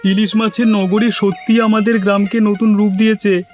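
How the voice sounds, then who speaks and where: A man's voice: high voice, little pitch movement, normal speed, a little mellow, faint music behind, lightly acted. A man voicing an admiring, enthusiastic village man in a cartoon, with earnest praise. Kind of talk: scripted cartoon dubbing.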